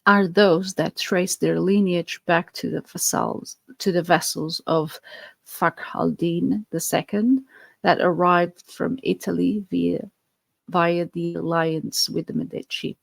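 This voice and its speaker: Slow, gravelly Portuguese-accented woman. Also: lowish voice, no echo. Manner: earnest, explanatory, with fillers and restarts. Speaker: podcast host. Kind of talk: podcast monologue.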